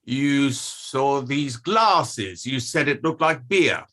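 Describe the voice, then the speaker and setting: A man reading an insistent defence barrister in a courtroom play, pointed and deliberate, with dramatic pauses. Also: medium pitch, expressive, fairly bright tone. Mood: pointed, needling. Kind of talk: table read of a courtroom play.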